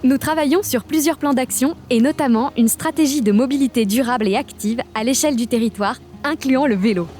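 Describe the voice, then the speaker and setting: A woman from a regional nature park staff, giving upbeat scripted narration for an animated explainer. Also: sits high. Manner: upbeat, informative, lists facts briskly.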